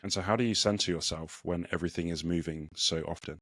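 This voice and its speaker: A podcast host asking relaxed questions and murmuring agreement. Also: sits low, quick pace, no room sound, studio quality. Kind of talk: casual interview questions.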